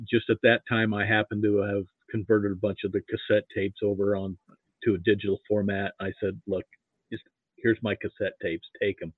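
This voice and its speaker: A man chatting as a podcast guest in a modest, halting way, often repeating his words, on slightly dull audio. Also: lowish voice, no echo. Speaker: podcast guest, amateur sports archivist. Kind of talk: casual interview chat.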